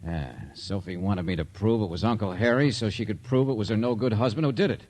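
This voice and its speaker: An actor playing a brisk, probing, wry private investigator in 1940s radio drama style. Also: male voice, lowish voice, darkish tone, natural voice. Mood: realizing, wry. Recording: old radio drama recording.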